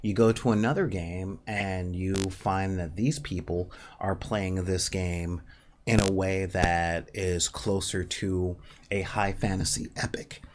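A man in his forties with a nasal voice hosts a casual livestream, thinking aloud with many pauses and fillers in a small home studio. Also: sits low, fairly even intonation. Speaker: livestream host. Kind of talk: casual livestream talk about tabletop gaming. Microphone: studio mic on a desk stand.

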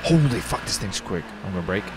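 A man's voice: low-pitched, animated, quick pace, noisy background. A man doing casual commentary during an online roleplay game, given to swearing and exclamations. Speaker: gaming streamer.

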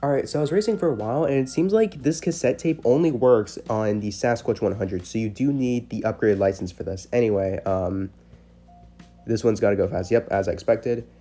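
A man's voice: lowish voice, a little fast, warm tone. A man giving casual, slangy commentary over gameplay as a gaming video host. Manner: informal, slangy. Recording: music behind the voice.

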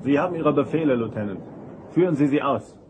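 A man playing a decisive, dutiful starship first officer in an audio drama, brisk and military. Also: mid-range voice, expressive, dull audio, close to an everyday voice. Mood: urgent, firm. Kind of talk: German science fiction audio drama acting. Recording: noisy scene with engine-like ambience.

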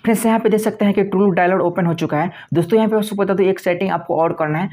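A man's voice: sits high, very fast, a little mellow. A man presenting a step-by-step phone tutorial in a repetitive, instructional manner. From India.

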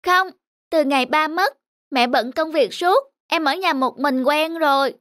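An actress voicing a lonely, sulky young schoolboy in a cartoon, talking stubbornly. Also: female voice, high-pitched, unhurried, fairly bright tone, no echo, clean studio sound. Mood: flat. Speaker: cartoon character. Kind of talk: cartoon voice acting.

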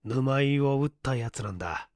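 A man's voice: mid-range voice, expressive, normal speed, darkish tone, no room sound, studio quality, natural voice. A man voicing an easygoing, whimsical male detective with a nonchalant, wry manner in an audiobook story. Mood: matter-of-fact. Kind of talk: Japanese audiobook character acting.

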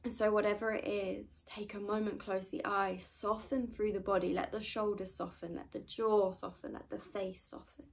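A woman leading a guided meditation in a gentle, soothing manner, often trailing off into a breathy whisper. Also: medium pitch, flat delivery, normal speed, few pauses. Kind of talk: guided meditation, soft-spoken and unhurried.

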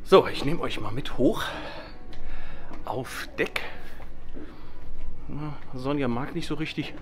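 A man's voice: medium pitch, takes it slowly, a little hiss or hum. Wry German man filming his ferry trip. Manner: chatty, wry running commentary. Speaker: vlogger. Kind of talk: casual travel vlog. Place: camper van on a ferry deck and car lot. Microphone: handheld camera at arm's length.

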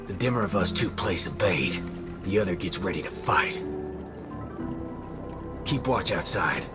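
A man voicing a gruff, cocky cowboy gunslinger in a video game, with a swaggering drawl. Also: deep voice, animated, a little slow, over loud music. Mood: brisk, commanding.

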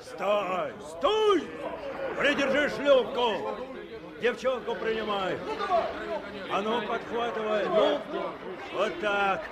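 An actor playing an urgent, commanding Soviet sailor who shouts orders in a 1960s radio drama. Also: male voice, high voice, expressive, slow pace, pauses often. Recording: loud crowd outdoor din.

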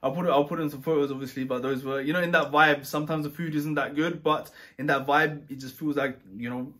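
Relaxed man chatting casually. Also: on the high side, fast. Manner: rambling, relaxed, many fillers and restarts. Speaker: travel vlogger. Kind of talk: casual chat.

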